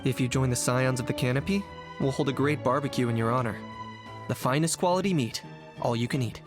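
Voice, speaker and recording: A man acts a young warrior in a video game, mid-pitched and easygoing. The character talks casually and matter-of-factly with a wry edge, over clearly audible music. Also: a little fast, natural voice. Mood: inviting.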